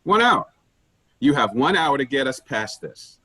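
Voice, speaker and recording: A man plays a callous, impatient boss in a dark stage comedy. His husky, fairly high voice swings widely as he lectures, blunt and full of himself, in a dry close sound. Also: sing-song, measured pace, no echo, exaggerated voice. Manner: blunt, self-important, lecturing. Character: pushy, penny-pinching male office boss, callous and impatient.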